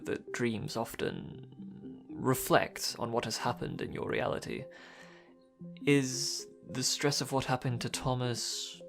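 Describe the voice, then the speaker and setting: Casting: actress as calm, enigmatic companion, extremely deep for a woman, unhurried and softly curious, audio drama. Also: heavily acted. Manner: measured, softly curious, deliberate. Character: calm, probing, quietly mysterious companion.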